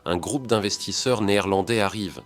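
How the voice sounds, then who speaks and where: A man reading the lines of a wealthy hotel owner who speaks low, warm and sincere. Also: normal speed, soft background music, lightly acted. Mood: earnest. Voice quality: deep, warm. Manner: low and intimate.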